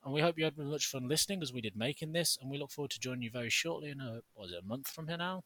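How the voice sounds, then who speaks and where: A man's voice: medium pitch, racing, fairly bright tone. A man co-hosting a podcast, theatrical, jokey and sarcastic in casual chat. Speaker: podcast co-host.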